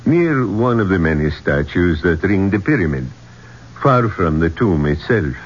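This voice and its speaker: An actor playing a grave, discreet Egyptian police inspector with formal, guarded phrasing in a radio drama. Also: male voice, deep voice, sing-song, unhurried, husky, exaggerated voice. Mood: ominous, measured. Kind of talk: 1970s radio drama acting. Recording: dull, noisy 1970s radio recording.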